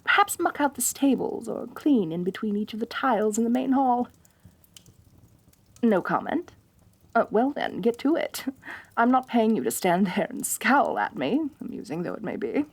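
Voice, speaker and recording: A woman's voice: a little high, very animated, normal speed, husky, full character voice. A woman playing a haughty, domineering rich lamia mistress in an ASMR roleplay, talking down to her servant. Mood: haughty, teasing. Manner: haughty, domineering, talks down to the listener. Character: haughty rich female lamia, bossy mistress, slightly tsundere.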